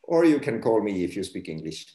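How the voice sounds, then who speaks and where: A man from Denmark joining a video call Q&A, friendly and quick to laugh. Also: fairly low pitch, big pitch swings, warm tone. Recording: roomy video call audio.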